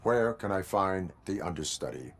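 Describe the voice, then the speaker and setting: A man playing a stoic cyborg policeman in a video game, speaking in a formal, clipped, deadpan way. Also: deep voice, normal speed. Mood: stern. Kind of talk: video game cutscene acting.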